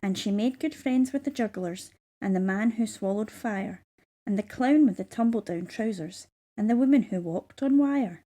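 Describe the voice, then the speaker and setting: A woman reading a children's story aloud in measured, rhythmic verse. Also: medium pitch, dark tone. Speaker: storybook reader.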